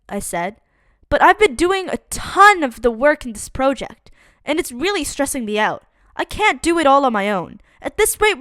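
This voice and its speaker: Lively woman reading a kids' story. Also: sits high, no room sound, clean studio sound. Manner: lively, expressive reading for kids. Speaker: children's book reader. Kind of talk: read-aloud storytime.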